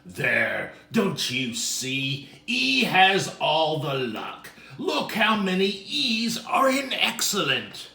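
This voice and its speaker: A man's voice: on the high side, wildly swooping pitch, very slow, gravelly, hardly any pauses, bright, forceful tone, reverberant, voice pushed up, full character voice. A man voicing an angry, sulking, grumbling alphabet letter in a children's story. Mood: tearful, envious. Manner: grumbling, insulting, self-pitying.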